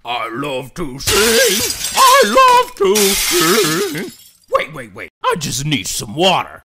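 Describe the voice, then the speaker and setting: A man's voice: extremely high pitch, wildly swooping pitch, heavy background noise, heavily acted. A man voicing a big, grumpy, boastful bridge troll with a growly voice in a children's cartoon story. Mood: straining, flustered. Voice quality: gravelly, growly. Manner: slow, drawn-out, blustering.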